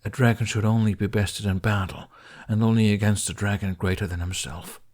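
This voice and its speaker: A man with a fairly low voice narrates an audiobook. He reads scripted fiction expressively, as a storyteller. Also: fairly even intonation, fairly quick, a little mellow.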